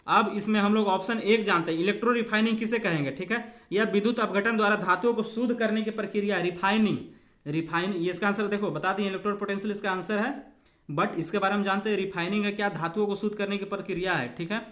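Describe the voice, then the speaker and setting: Guy with a pretty high voice, coaching students for an exam, informal, explains step by step. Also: a bit bright. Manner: informal, addresses students as friends. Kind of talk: bilingual exam lesson, explaining questions step by step.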